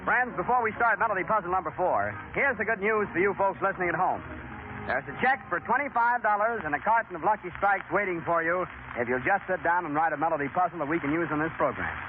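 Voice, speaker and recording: A man's voice: sits high, expressive, quick pace, music in the background. An American man hosting a 1930s radio quiz, chatty and folksy, speaking straight to listeners. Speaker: radio quiz show host. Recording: scratchy, narrow-band 1930s radio, noisy. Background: clear broadcast noise.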